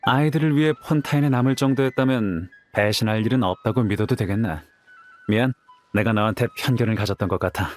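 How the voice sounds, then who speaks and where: A man's voice: lowish voice, quick pace, soft background music, natural voice. A man voicing a confident, playful young warrior in an anime game, with a casual, easygoing manner. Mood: friendly, candid.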